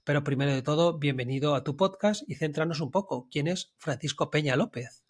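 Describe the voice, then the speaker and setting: Chatty male podcast host, slightly high pitch. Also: brisk, breathy edge. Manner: friendly, chatty questioning. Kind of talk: conversational interview.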